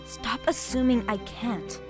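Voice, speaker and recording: A proud young pony princess, played by a woman in a radio drama. Her high voice moves slowly, guarded and haughty with a curt edge, over faint music. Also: close to an everyday voice. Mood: curt, imperious. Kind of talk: fan radio drama acting.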